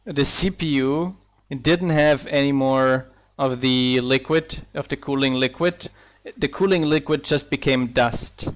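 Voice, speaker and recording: A young man whose first language is German speaks with a slight German accent in a smooth, clear voice. He talks at an unhurried pace, rambling casually and laughing as he plays. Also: mid-range voice. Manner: chatty, thinks aloud with restarts and laughs. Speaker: mod developer streaming gameplay. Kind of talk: casual live-stream chat while playing.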